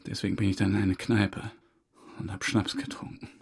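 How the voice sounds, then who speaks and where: An actor playing a defensive, wounded murder suspect in a crime drama, halting, self-pitying and insistent on his honesty. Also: fairly low pitch, little pitch movement, gravelly, breathy edge. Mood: halting, dejected. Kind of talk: German crime radio drama dialogue.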